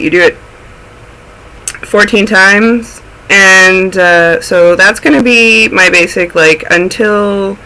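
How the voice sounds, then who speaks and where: A man's voice: high-pitched, bright, forceful tone, low-quality recording. A man with a cold, sounding snuffly, hosting a casual knitting video podcast and chatting his way through projects with plenty of tangents. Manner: rambling, goes off on tangents. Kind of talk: casual chatty show-and-tell.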